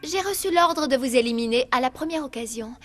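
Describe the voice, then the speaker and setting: A woman's voice: high-pitched, animated, brisk, soft background music. A woman playing a hostile, defiant alien woman in a dubbed video game. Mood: menacing.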